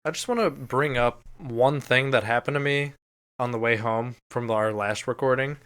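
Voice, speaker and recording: American male podcast co-host, smooth clean voice, casual storyteller who swears freely. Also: medium pitch, normal speed, fairly bright tone. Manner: casual, profane.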